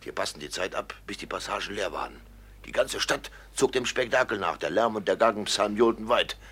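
An actor playing a fiery robber recounting mayhem vividly and declamatorily in a classic radio drama. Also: male voice, on the low side, animated, a little fast, a bit bright, breathy edge. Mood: fierce relish.

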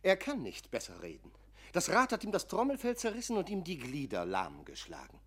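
A man's voice: fairly high pitch, wildly swooping pitch, brisk. A man in a German radio play acting a folksy clown who serves as a guide. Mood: matter-of-fact. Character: Kasperl, a folksy clown guide in a pointed cap.

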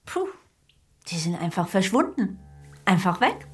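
A man's voice: high voice, sing-song, a little slow, husky, soft background music, pitched above the performer's own voice, heavily acted. A man reading an audiobook, voicing a young mother with a teasing, laughing manner. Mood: hurried. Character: young mother, playful and fond.

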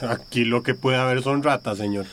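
An actor playing a dutiful junior police officer reporting deferentially in a Latin American radio drama. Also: male voice, medium pitch, a little fast, background noise, natural voice. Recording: music behind voice.

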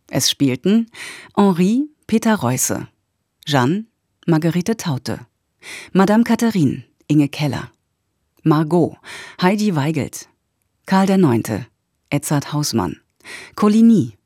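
Low, slow female radio announcer. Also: mellow, no room sound, studio quality. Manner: formal, unhurried announcing. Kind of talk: reading credits.

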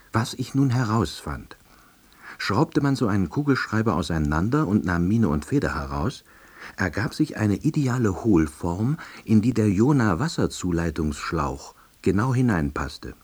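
An actor playing a wry, hapless middle-aged salesman, narrating his own story in an audio drama with a dry, deadpan delivery and husky voice. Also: low voice, exaggerated voice. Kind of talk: scripted first-person narration.

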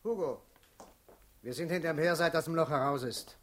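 A man's voice: a little high, normal speed, lightly acted. An actor playing a cold, curt party hitman giving orders in a German radio drama, entirely matter-of-fact. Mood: cold, businesslike. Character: cold, businesslike male party hitman in charge.

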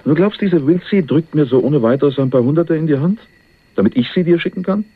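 An actor playing a sly, cynical go-between with a wry, teasing way of talking in a German radio crime drama. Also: male voice, a little high, expressive, fairly quick, breathy edge. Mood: sceptical, businesslike. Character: cynical, sly male go-between, cheekily flirtatious. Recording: muffled, phone-like 1980s radio recording.

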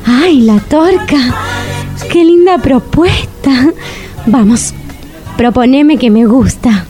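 Flirty melodramatic lady in a radio comedy, high voice, takes her time. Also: over music. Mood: flirtatious. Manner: drawn-out, flirtatious. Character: coquettish, melodramatic woman on a date. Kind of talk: comedic radio drama acting.

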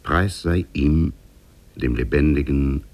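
An actor playing a grave, measured storyteller narrating an Arabian Nights tale in a 1950s German radio play. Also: male voice, rumbling low, darkish tone, full character voice. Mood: solemn.

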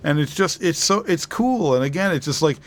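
A man co-hosting a podcast, discussing casually in a reflective, halting way and often repeating words. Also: on the high side, animated. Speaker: podcast co-host.

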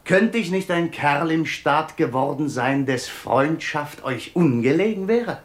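A jocular, proud dervish in a classical 1950s radio drama. His fairly high voice swings widely in pitch as he banters slowly and bluntly, declaiming his questions. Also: expressive. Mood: bantering, boastful. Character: proud, jocular dervish turned royal treasurer, male, blunt. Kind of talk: 1950s German radio play acting, classical verse drama.

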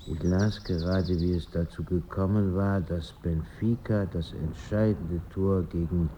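Deep, slow male narrator, reflective and measured, scripted radio-play narration. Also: steady tone, mellow. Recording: dull sound with faint outdoor noise.

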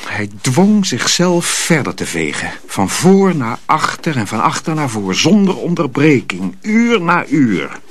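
A man's voice: mid-range voice, sing-song, a little slow, hardly any pauses, a little hiss or hum. A man narrating a radio drama from a script as a storyteller.

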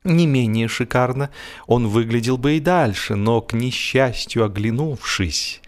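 A man reading humorous literary prose as an audiobook narrator, with a theatrical, expressive delivery. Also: mid-range voice, unhurried.

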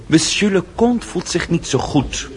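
An actor playing a proud, sardonic French marquis with a formal, mocking delivery in a period radio drama. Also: male voice, high voice, expressive, a little slow. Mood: commanding. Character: proud French marquis, sardonic, coolly self-possessed nobleman. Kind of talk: radio drama acting in period costume piece.

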